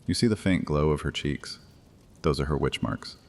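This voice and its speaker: Very deep-voiced, imperious vampire priest. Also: male voice. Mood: menacing command. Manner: commanding, theatrical, deliberate. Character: ancient imperious vampire high priest, menacing and mocking. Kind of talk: dramatic horror audio drama. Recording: long cavernous reverb, faint music underneath.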